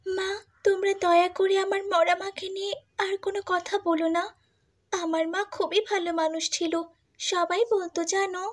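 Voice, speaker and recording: A woman voicing a meek, sorrowful young stepdaughter in a cartoon, speaking softly and pleadingly. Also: extremely high pitch, level tone, normal speed, a little hiss or hum. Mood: pleading, hurt. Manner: soft, pleading, plaintive. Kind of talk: Bengali cartoon dubbing.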